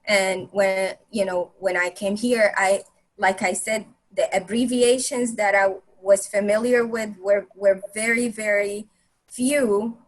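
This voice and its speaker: A woman's voice: medium pitch, fairly even intonation, takes it slowly. A woman whose first language is Arabic, talking in a casual group discussion with many fillers and restarts. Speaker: presenter on language barriers.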